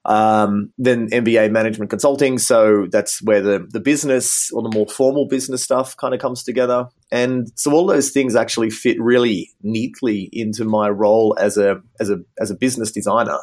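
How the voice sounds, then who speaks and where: A man with a rather low voice and an Australian accent talks through his career as an interview guest. He sounds thoughtful and easygoing, filling his sentences with ums and sort-ofs. His mic sounds a little muffled, as if something keeps rubbing against it. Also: fairly even intonation, normal speed, hardly any pauses, darkish tone. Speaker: guest, business designer. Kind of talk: relaxed interview storytelling.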